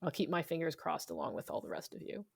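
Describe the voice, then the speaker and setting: A woman's voice: low voice, very animated, fast talker, vocal fry, slightly rough, no echo, studio quality. A woman giving informal, talkative interview answers, explaining things conversationally as a guest author. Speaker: interviewed novelist.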